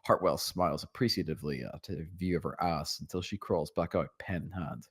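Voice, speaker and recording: A man's voice: extremely low pitch, brisk, slightly rough. A man narrating a script's stage directions at a table read.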